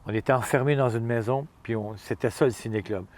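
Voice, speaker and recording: A man's voice: mid-range voice, brisk, a little mellow. Chatty man with a Montreal accent. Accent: Montreal Quebec French. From Montreal, Quebec. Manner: chatty, informal, repeats himself for emphasis. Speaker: interview guest, cinematographer. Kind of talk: casual, anecdotal interview talk. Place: outdoors in the Gaspé region.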